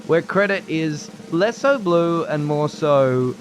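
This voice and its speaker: Male podcast co-host, Australian, playful and giggly, slightly raised pitch. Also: lively intonation, takes it slowly, a little hiss or hum. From New South Wales, Australia. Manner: playful, laughs often, drops to mumbled asides. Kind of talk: casual chat.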